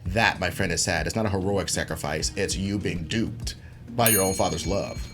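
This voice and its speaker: A man's voice: low voice, moderate pace, over music. A man debating casually as a contestant, making his points with emphatic, rhetorical phrasing. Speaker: debate contestant and stand-up comedian.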